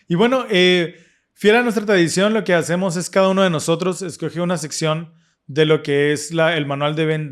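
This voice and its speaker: Man, earnest and reflective, slightly raised pitch, scripture-study host. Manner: earnest, reflective, with frequent fillers and restarts. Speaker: podcast host.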